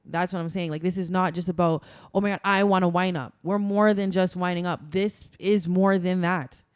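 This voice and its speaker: Young woman, podcast guest, smooth clear tone, dry close sound, emphatic and chatty. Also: lowish voice, fairly even intonation, moderate pace, dry recording. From Toronto, Canada. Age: young adult. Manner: chatty and emphatic, many "like" fillers. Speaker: guest, carnival masquerader. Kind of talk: casual podcast conversation.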